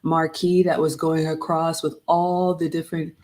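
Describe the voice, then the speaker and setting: A woman's voice: deep voice, level tone, moderate pace, a little mellow. A middle-aged woman from Ghana, chatting casually with viewers in a livestream as she looks back on a trip and greets people by name. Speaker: vlog host. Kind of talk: casual livestream travel recap.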